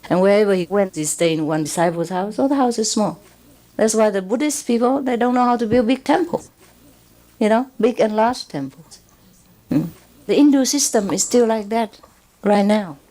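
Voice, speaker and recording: A woman's voice: medium pitch, normal speed, dark tone, rough recording. A woman with a Vietnamese accent gives a loose, rambling spiritual talk, often checking in with her listeners and chuckling as she goes. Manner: rambling, with frequent checks like "you know" and "huh?"; chuckles often while talking. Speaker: spiritual teacher. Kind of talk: informal spiritual lecture.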